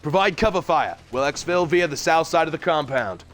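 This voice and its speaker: Male actor as sci-fi audio drama Marine captain; terse, forceful orders pitched on the high side, over faint gunfire. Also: animated, normal speed, a little hiss or hum. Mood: urgent commanding. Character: commanding male Marine captain, urgent under fire.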